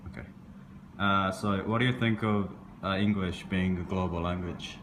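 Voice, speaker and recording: A man's voice: sits low, steady tone, normal speed. A young man conducting a casual student interview, asking questions in an informal, hesitant way with many short affirming interjections. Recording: roomy echo, clear background noise.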